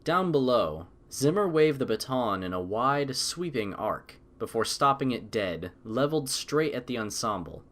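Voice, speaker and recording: A man's voice: medium pitch, very animated, measured pace, hardly any pauses, a bit bright. A man narrating a story as a scripted audiobook.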